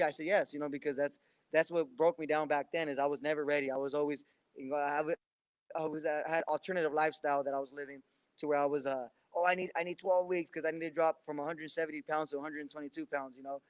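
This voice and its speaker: Young male fighter, quick rambling delivery, dry close sound, casual interview energy. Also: a little high, clear, resonant tone, no echo. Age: 20s. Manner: rambling, many restarts and fillers. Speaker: professional boxer.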